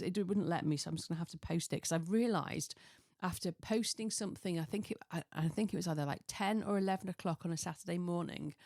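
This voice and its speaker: A woman with a fairly low, slightly croaky voice hosts a casual solo podcast. She rambles in a self-deprecating way and drifts into tangents. The sound is dry and close, from a small home office. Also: darkish tone, no room sound. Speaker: podcast host.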